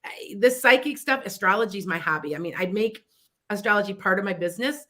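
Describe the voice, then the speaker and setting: Middle-aged woman, Chicago accent, bright tone; chatty psychic radio host who rambles through her advice. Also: medium pitch, fast. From Wisconsin near the Illinois border. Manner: rambling and chatty, with frequent restarts and asides. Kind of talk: casual advice talk on internet radio.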